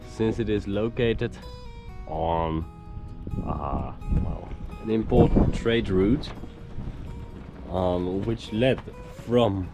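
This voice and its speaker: Hoarse male travel vlogger, very low and slow, music playing under him. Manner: unhurried, hesitant, explaining as he walks. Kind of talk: casual travel narration on a hike. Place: outdoors on a hiking trail.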